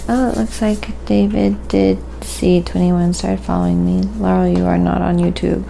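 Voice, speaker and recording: A soft-spoken woman live-streaming a quiet ASMR painting tutorial, chatting casually and sometimes dropping to a whisper. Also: lowish voice, level tone, moderate pace, gravelly, slight background noise.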